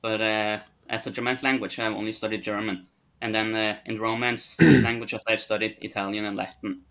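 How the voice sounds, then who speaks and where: A man's voice: on the low side, level tone, brisk, gravelly, fairly bright tone. A Norwegian man with a Norwegian accent, a language teacher calling in on a video call, hesitant with many uhs. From Norway. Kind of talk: casual video call chat.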